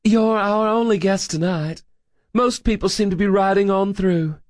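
A man voicing a gentle, hospitable woman preacher with a soothing, courteous manner. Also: sits high, normal speed, dry recording, clean studio sound, pitched above the performer's own voice, lightly acted. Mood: welcoming.

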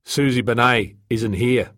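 A stranded spaceman, played by a male narrator, worn down and bitter, speaks bluntly with a hard, resigned edge. It is an audiobook character in a mid-pitched male voice, recorded dry. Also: normal speed, no room sound, lightly acted. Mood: hard, insistent.

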